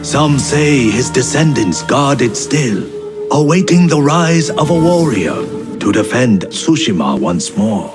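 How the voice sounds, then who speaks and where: An actor playing a solemn male storyteller who narrates ancient legends in a measured, dramatic manner for a video game. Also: mid-range voice, big pitch swings. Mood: solemn, reverent. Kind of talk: scripted narration of legendary tales. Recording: music behind the voice.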